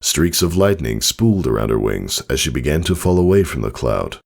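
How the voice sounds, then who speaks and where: A man's voice: extremely low pitch, sing-song, brisk. A man narrating a scripted story in a dramatic reading style. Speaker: fan fiction narrator.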